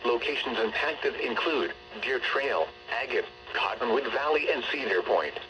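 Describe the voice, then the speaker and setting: A synthetic male text-to-speech voice reading scripted emergency weather bulletins over the radio in a formal, measured way. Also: medium pitch, lively intonation, pushed and bright, slight background noise, poor audio. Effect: weather radio broadcast. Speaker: automated weather radio announcer.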